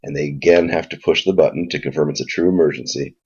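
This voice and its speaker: Low husky guy walking thru a software tutorial, casual, kinda muffled lo-fi mic. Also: fairly even intonation, quick pace. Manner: informal, explanatory. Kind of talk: casual spoken screen walkthrough. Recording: dull lo-fi mic sound.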